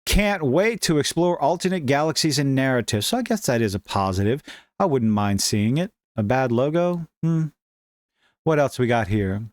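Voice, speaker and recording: A man's voice: medium pitch, dry recording, studio quality. A man hosting a casual commentary show, reading and reacting to online posts in an opinionated, wry, informal way.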